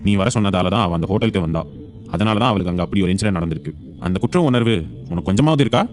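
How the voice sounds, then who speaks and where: A man performs a protective grandfather in an audio drama. His voice sits fairly low and moves quickly. He speaks with stern, emphatic authority. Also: expressive, a little mellow. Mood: angry. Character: stern, protective grandfather, indignant family elder. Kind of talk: audio serial drama acting.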